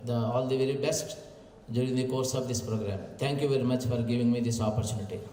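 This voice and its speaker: A man gives formal closing remarks at a conference and pauses often with fillers. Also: mid-range voice, steady tone, warm tone. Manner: hesitant, many "uh" fillers. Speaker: guest dignitary giving remarks. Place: large conference hall. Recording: echoey hall, distant mic, faint hum.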